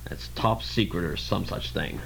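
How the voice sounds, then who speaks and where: Radio drama detective, male actor: weary lieutenant, creaky voice, clipped and hard-boiled. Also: sits low, moderate pace, fairly bright tone, a little hiss or hum, low-quality recording. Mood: matter-of-fact, probing. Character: weary, matter-of-fact male police lieutenant investigating deaths.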